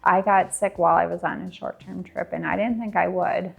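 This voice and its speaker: A woman with a low, gravelly voice tells a rambling, reflective story. Music sits faintly underneath. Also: steady tone, a little fast.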